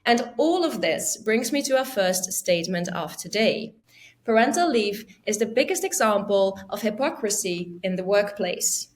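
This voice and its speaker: A woman hosting a debate podcast, clear and organized, reading out prepared background before each statement. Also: mid-range voice, animated, moderate pace. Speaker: podcast host.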